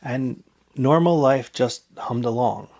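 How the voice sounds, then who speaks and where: A man's voice: medium pitch, slow, gravelly, darkish tone. A man presenting an explainer in scripted narration.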